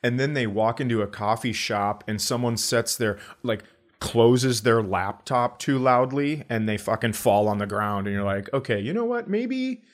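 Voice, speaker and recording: Guy podcast cohost, normal pitch, casual banter, dry sarcastic little jokes. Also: animated, moderate pace, hardly any pauses. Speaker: comedian podcast co-host.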